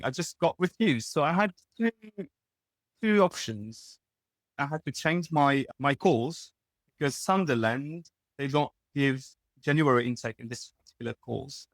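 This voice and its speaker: A man's voice: mid-range voice, creaky, no room sound. Candid young Lebanese man. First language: Arabic. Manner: candid, restarts and self-corrections. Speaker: guest, pharmacist. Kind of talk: casual interview talk.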